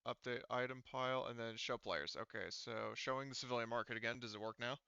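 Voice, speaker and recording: A man with a clear, forward voice and some gravel talks informally as a game master. He thinks aloud and swears casually while working through settings. The recording is dry and slightly dull, like band-limited voice chat. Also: medium pitch, steady tone, fairly quick, sharp tone, dry recording. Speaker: game master of an online tabletop roleplaying game. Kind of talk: casual group chat while troubleshooting software.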